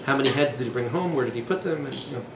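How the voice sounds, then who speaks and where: A man's voice: mid-range voice, racing, vocal fry. A man in his thirties teaching a class in a small room, talking informally with digressions, fillers and sardonic humor. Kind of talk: informal lecture with asides and jokes. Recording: echoey room with background noise.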